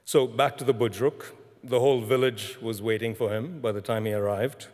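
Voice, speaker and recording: A man giving a graduation speech in a measured storytelling manner, recorded in a large hall. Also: mid-range voice, a little mellow. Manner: measured, storytelling delivery with deliberate repetition. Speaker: graduation ceremony speaker. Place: large concert hall, live ceremony. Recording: echoing large concert hall.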